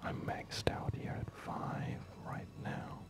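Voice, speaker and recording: An ASMR host whispering an unhurried, hesitant commentary while playing a strategy game. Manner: hesitant, unhurried, softly rambling.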